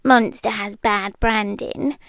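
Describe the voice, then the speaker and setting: A British woman playing a shy, smitten under-the-bed creature in an intimate ASMR roleplay, soft-spoken and often whispery, awkward and deadpan. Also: medium pitch, slow pace, no echo. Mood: dry, weary. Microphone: close dry microphone.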